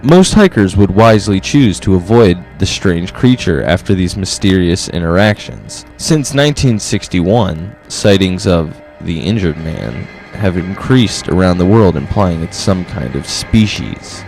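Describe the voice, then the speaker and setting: Low-pitched man acting as a pompous monster-show host, defensive, rambling, self-styled expert, comedy mock documentary, music behind. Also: very animated, a little slow, few pauses, a little mellow, exaggerated voice, poor audio. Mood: mock-solemn. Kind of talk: mock-documentary presenting with petulant asides.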